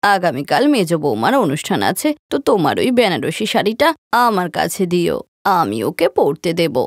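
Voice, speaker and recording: A woman's voice: on the low side, sing-song, moderate pace, husky, no room sound, clean studio sound, voice pushed down, full character voice. A woman voicing a scheming, boastful older mother-in-law in a cartoon story, bossy and blustering. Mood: coaxing, commanding.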